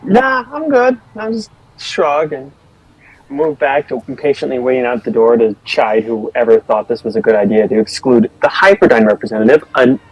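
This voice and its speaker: A man with a husky, slightly rough voice at a middle pitch chats casually as he plays a tabletop role-playing game. His talk is loose and improvised. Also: moderate pace, poor audio.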